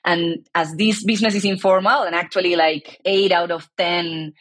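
A woman's voice: fairly low pitch, fairly even intonation, normal speed, a bit bright. A woman, a startup founder speaking earnestly as an interview guest and often restarting her sentences. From Argentina. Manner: earnest, searching for words with many restarts. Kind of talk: casual conversational interview.

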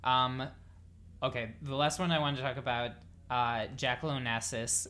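A woman's voice: very deep voice, fairly even intonation, unhurried, a bit bright, slight background noise. An American woman from Minnesota hosting a music show in casual, agreeable conversation. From Saint Paul, Minnesota, United States. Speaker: music show host interviewing a guest. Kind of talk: casual chat.

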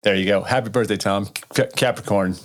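A man's voice: fairly low pitch, fairly even intonation, a little fast. A man co-hosting a casual podcast, making dry, wry jokes in easy conversation. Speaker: podcast co-host. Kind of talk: casual chat.

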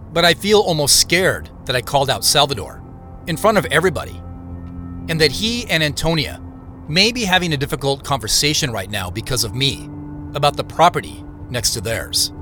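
An actor playing an introspective man who narrates his journey in the first person, earnest, reflective and measured. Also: mid-range voice, pushed and bright. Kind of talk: scripted first-person audio drama narration.

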